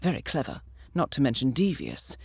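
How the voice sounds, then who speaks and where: A woman's voice: a bass voice, huge pitch swings, moderate pace, vocal fry, breathy edge, no room sound, exaggerated voice. A woman playing a composed intelligence handler in a video game, briefing an assassin formally and with dry wit. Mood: dry amusement. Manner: composed, formal, dryly witty. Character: poised female intelligence handler briefing an assassin, cool and wry. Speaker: mission handler.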